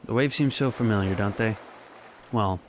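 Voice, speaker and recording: An actor playing a young man speaking softly and wistfully to a lost love in a radio drama, with quiet laughs. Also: lowish voice, normal speed, background noise, lightly acted. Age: young adult.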